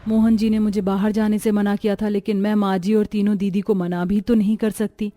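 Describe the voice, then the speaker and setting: A woman voicing a dutiful young pregnant daughter-in-law in a cartoon story, patient, soft-spoken and deferential. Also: medium pitch, flat delivery, fairly quick, lightly acted. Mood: weary, worried. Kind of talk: acted Hindi cartoon story dialogue.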